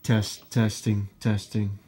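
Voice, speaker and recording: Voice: mid-range voice, level tone, takes it slowly, dark tone, a little hiss or hum. A vocoder-processed robotic voice of unclear sex repeating short mic-check test phrases as a synthesizer demo. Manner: repetitive mic-check delivery. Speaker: musician demonstrating a synthesizer. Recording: synthesizer tones over the voice. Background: synthesizer keyboard playing.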